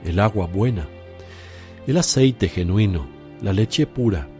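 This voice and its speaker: A man playing a slow, solemn Jesus. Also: lowish voice, animated, dark tone, soft background music. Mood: preaching. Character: Jesus, calm gentle male teacher and preacher. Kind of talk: radio drama acting.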